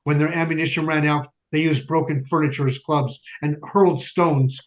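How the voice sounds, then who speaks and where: A man's voice: fairly high pitch, fairly even intonation, moderate pace, muffled recording, lightly acted. A man playing a grim resistance fighter, narrating a battle in a solemn, declamatory stage style. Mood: grim, defiant.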